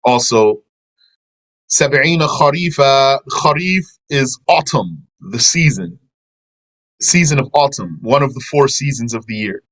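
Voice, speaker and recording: A man's voice: medium pitch, animated, moderate pace, fairly bright tone, rough recording. A man giving a religious lesson, reading a translated passage aloud and explaining it, with Arabic terms mixed in. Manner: explanatory, reads a passage then comments, mixes in Arabic terms. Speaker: religious teacher.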